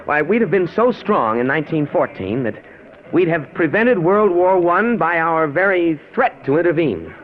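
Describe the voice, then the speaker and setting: An actor playing a confident, earnest author in old-time radio drama, polite and emphatic, with an American accent. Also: male voice, a little high, lively intonation, moderate pace, natural voice. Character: earnest, confident male author expounding his historical theory. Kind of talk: 1940s radio acting. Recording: tinny old radio recording.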